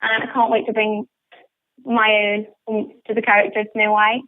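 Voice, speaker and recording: A woman's voice: medium pitch, level tone, moderate pace. A young woman with a northern English accent, chatting enthusiastically in a radio interview over a phone line. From northern England. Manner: enthusiastic, upbeat. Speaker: interviewed pantomime actress. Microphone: telephone. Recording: muffled, tinny phone line.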